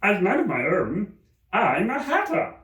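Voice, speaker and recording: Man doing a high, nervous hatter. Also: huge pitch swings, unhurried, a bit bright, full character voice. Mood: nervous, apologetic. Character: nervous, apologetic hatter, a man summoned as witness. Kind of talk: audiobook character voice. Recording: roomy, slightly distant microphone.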